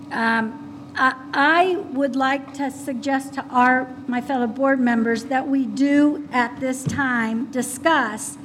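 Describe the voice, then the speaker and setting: A woman on a school board speaking at a public meeting, soft and mousy in voice, hesitant with many fillers and restarts. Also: sits high, slight background noise, poor audio. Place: meeting room.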